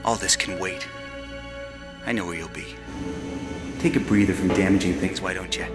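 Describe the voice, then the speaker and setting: A man plays a cool video game action hero with a low voice. He talks in a dry, wry way, like a loner in charge. Music plays behind him. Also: steady tone, normal speed, close to an everyday voice. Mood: wry calm. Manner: dry, sardonic. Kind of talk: video game cutscene voice acting.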